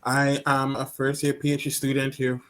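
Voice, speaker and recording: A man's voice: mid-range voice, monotone, normal speed, fairly bright tone, slight background noise. A young man from Saint Kitts and Nevis, a first-year PhD student, greeting and introducing himself cheerfully.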